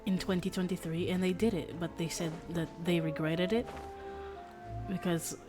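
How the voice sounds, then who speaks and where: A woman's voice: on the low side, steady tone, moderate pace. Rambling woman streamer over game music. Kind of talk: casual chat while streaming a game.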